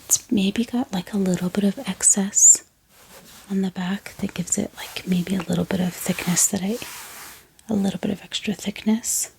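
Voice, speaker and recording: Soft whispery asmr lady, lots of vocal fry, slow gentle chatting, bit of noise and clicks behind her. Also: medium pitch, steady tone, rough recording. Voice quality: breathy, whispery voice. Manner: gentle, unhurried, hesitant pauses. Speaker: ASMR book haul vlogger. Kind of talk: soft-spoken whispered ASMR, casual and unscripted.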